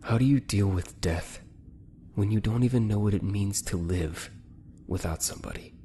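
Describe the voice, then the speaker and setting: A man plays a young male cadet in an anime drama, bitter and sunk in grief. His voice is deep and brooding, heavy-hearted, with a faint noise behind it. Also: moderate pace, mellow, natural voice. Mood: grieving. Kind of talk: fan dub anime parody acting.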